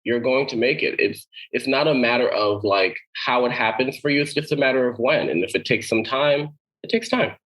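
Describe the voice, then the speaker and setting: A man's voice: medium pitch, brisk, few pauses, fairly bright tone, poor audio. A man from Boston, born in Haiti, talking casually and encouragingly as an interview guest, repeating phrases for emphasis. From Boston, United States, born in Haiti. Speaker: interview guest, TV writer and mentor.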